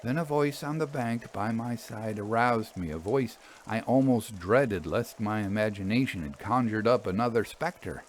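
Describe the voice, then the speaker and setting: A man narrating a literary ghost story aloud with measured, suspenseful and expressive phrasing. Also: fairly low pitch, slight background noise. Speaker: storyteller reading fiction aloud.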